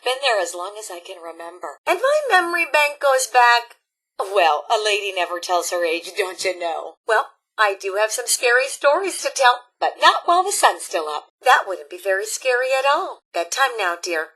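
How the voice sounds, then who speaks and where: A woman voicing a cheery, motherly elderly robot scout leader in a video game, with a folksy American Upper Midwest accent and a husky edge. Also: medium pitch, sing-song, moderate pace, bright, forceful tone, exaggerated voice. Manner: folksy, chirpy, sing-song, fussing over campers. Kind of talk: video game character acting.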